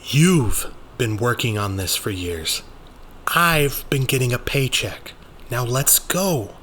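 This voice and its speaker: A man's voice: medium pitch, lively intonation, slow, fairly bright tone, a little hiss or hum, voice pushed up. A man voicing a tough, sarcastic, hard-drinking woman sidekick in an audio story, impatient and exasperated. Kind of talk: acted dialogue in an audio story.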